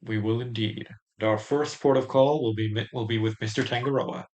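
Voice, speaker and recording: A man voicing a calm, refined young male prosecutor with a dry, measured, quiet delivery while reading game lines. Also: a little hiss or hum, lightly acted. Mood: composed. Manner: dry, measured, low-key.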